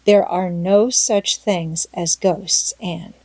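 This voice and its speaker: A stern, unsentimental older woman from a storybook, put on by a woman narrator. She scolds firmly and practically in a lowish, mellow voice, recorded close and dry. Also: fairly even intonation, slow, dry recording. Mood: firm scolding. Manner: firm, scolding, no-nonsense. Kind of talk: storybook character voice in audiobook.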